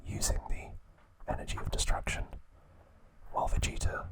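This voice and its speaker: A man whispering an ASMR recap of trivia, pausing now and then to correct himself. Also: rough recording. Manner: slow soft whisper, occasional self-corrections. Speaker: ASMR host.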